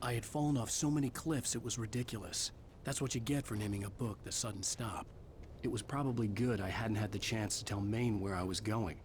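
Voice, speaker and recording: A man's voice: fairly low pitch, fairly quick, a little hiss or hum, natural voice. A man voicing a brooding, haunted writer who narrates his ordeal in a video game thriller. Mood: brooding, tense. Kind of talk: scripted first-person thriller narration.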